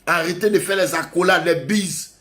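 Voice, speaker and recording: A man's voice: sits high, normal speed, a bit bright. A male pastor preaching a sermon, urging his congregation with emphatic, repetitive exhortations.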